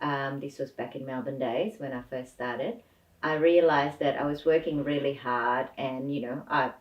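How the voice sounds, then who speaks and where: A woman guest speaking English as a second language, answering thoughtfully with hesitant pauses and fillers. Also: rumbling low, steady tone. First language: not English. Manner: thoughtful, hesitant, laughs softly. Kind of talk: reflective interview answers. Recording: echoey room, distant dull mic.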